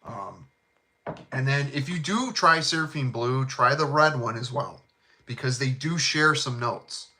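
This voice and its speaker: A middle-aged man with a heavy Chicago South Side accent and a bright, forward tone. He rambles in a laid-back, chummy way, dropping fillers and casual slang as he talks about colognes with his viewers. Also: medium pitch, unhurried. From Chicago, USA. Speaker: fragrance channel host. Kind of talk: casual live chat.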